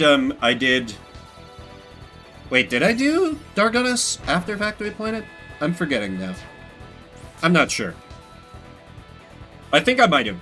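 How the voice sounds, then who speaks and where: A man streaming games, chatting casually with viewers in a rambling, story-telling way. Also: mid-range voice. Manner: rambling, animated retelling with acted-out quotes. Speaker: game streamer. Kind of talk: casual livestream chat with viewers.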